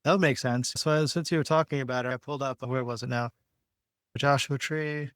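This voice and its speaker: A man with a mid-pitched voice talks informally, explaining as he goes. He thinks aloud in a halting, conversational way, and the recording is dry with little room sound. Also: steady tone, a bit bright, smooth, no echo. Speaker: podcast co-host. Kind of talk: casual explanatory talk.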